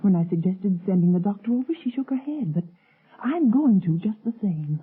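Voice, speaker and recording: Concerned society girl, old radio thriller; polite, earnest, mid-pitched husky voice, tinny lo-fi sound. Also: animated, fairly quick. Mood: fearful, urgent. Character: polite, anxious young society woman, concerned friend. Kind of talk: 1930s-40s radio mystery acting. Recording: muffled, lo-fi vintage radio recording.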